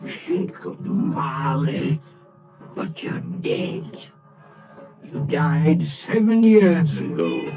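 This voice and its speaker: A scoffing old miser, Scrooge. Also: male voice, animated, drawn-out words, dark tone, heavily acted. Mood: frightened. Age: older. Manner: sneering, rising to shrill panic. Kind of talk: vintage radio drama acting. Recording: old radio play with music. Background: music.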